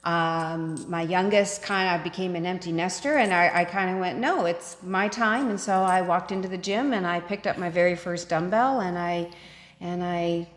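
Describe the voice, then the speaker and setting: Low-voiced woman in an echoey room. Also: normal speed. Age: 50s. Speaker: co-host. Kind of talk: casual chat. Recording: echoey room with long reverb.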